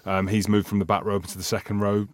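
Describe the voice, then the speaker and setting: A man's voice: on the low side, monotone, fast, no echo, clean studio sound. A Scottish man co-hosting a podcast, chatting animatedly and saying "mate" a lot. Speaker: podcast co-host. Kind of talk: casual sports chat.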